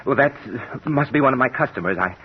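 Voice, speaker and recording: An American actor playing a guilty, nervous murderous son-in-law, halting and melodramatic, in a 1950s radio thriller. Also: male voice, mid-range voice, animated, natural voice. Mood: nervous, evasive. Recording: muffled, hissy vintage radio recording.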